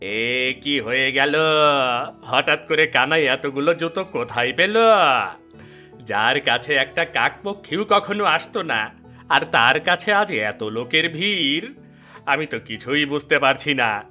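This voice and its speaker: A man's voice: medium pitch, expressive, a little slow, bright, forceful tone, soft background music. A man playing a scheming, envious village cobbler in a cartoon folktale, with a sly, exclamatory way of talking. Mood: envious, astonished.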